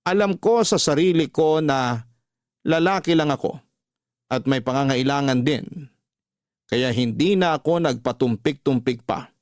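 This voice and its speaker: An actor playing a brash womanizer confessing his affairs in first-person radio drama narration, telling it deliberately and without apology. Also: mid-range voice, no room sound, clean studio sound, close to an everyday voice.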